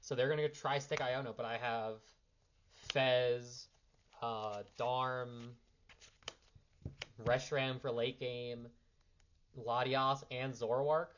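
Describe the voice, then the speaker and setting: A man's voice: mid-range voice, fairly even intonation, slow, long pauses, a bit bright, muffled recording, a little hiss or hum. Slightly sick young man explaining card plays. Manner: rambling, self-correcting, handling cards while talking. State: a little sick. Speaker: card game player giving a strategy primer. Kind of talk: impromptu casual strategy explanation.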